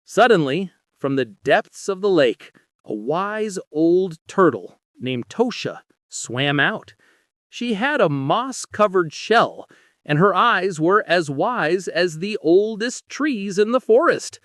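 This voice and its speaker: A man narrating a bedtime story in a gentle, soft, unhurried way. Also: high-pitched, big pitch swings, no room sound, studio quality.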